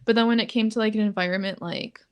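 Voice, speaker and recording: A young woman with a monotone delivery and a middle-pitched voice, talking casually on a podcast. She fills nearly every phrase with "like" and laughs often between thoughts. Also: fast talker, smooth, lo-fi sound. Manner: says "like" constantly, flat and low-key, laughs often. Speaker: podcast co-host. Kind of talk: casual chat.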